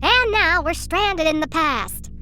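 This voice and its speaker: A vain young time-traveling influencer in an audio drama, sarcastic and quick to whine. The voice sits high and rings bright and sharp. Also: female voice, expressive, slight background noise, full character voice. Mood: whiny. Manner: flippant, sarcastic, whiny.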